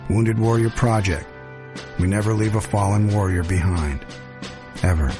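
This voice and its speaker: Earnest low-voiced man narrating an ad. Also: steady tone, normal speed, over music. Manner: earnest, solemn. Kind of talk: scripted charity ad narration.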